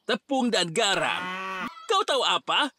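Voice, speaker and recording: Greedy cartoon peasant, smug, stubborn, bouncy pitch, hissy recording. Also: male voice, big pitch swings, unhurried, sharp tone, heavily acted. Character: greedy, crafty peasant brother. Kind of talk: animated cartoon dubbing. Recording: noisy dubbed track.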